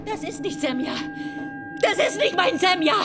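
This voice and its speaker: A woman's voice: very high voice, animated, moderate pace, loud music behind the voice. An actress playing an old, grieving mother in a German radio drama, tearful, imploring and emotional. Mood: desperate insistence. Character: grieving, pleading old mother, hardened survivor.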